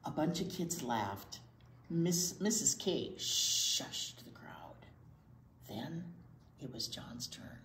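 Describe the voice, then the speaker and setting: An older woman reads a picture book to children with asides, expressive and often dropping to a hushed near-whisper. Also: rumbling low, slow pace. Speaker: children's library storytime reader. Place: indoor children's library storytime corner. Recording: roomy echo, faint background noise.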